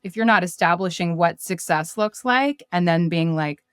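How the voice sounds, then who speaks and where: Woman, deep-set voice, podcast guest, casual explaining, dry recording, acts out imagined quotes. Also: moderate pace, a bit bright, clean tone, no room sound. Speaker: podcast guest, startup marketer. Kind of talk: casual business interview chat.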